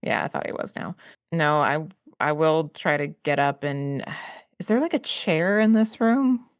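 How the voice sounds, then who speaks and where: A woman's voice: sits low, unhurried, clear, resonant tone, no room sound. A woman chatting casually as a player in a tabletop role-playing game, thinking aloud about what her character does. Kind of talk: casual improvised game chat.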